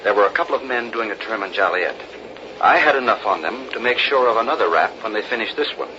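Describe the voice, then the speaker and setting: An actor playing a confident, determined investigator in an American radio drama, speaking formally and deliberately with an American accent on a dull, noisy old recording. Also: male voice, lowish voice, lively intonation. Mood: grave, determined. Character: determined, confident one-man grand jury investigator, father.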